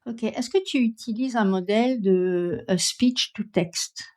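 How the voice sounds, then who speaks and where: A woman's voice: on the high side, unhurried, darkish tone. A woman speaking in a direct, critical way, questioning and demonstrating as a pronunciation coach. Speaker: pronunciation coach testing a voice assistant.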